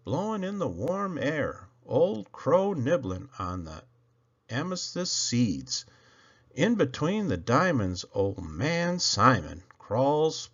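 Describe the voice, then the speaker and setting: A man reading children's poems aloud expressively, with theatrical, playful emphasis and dramatic pauses. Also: a little high, fairly bright tone, breathy edge, highs cut off. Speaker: storybook reader.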